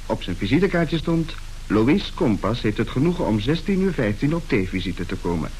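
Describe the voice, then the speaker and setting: An actor playing the chatty, amused son of a rich family in a radio play, gossiping with relish. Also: male voice, mid-range voice, sing-song, normal speed, darkish tone, natural voice. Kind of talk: radio drama acting. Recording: hissy old radio drama recording.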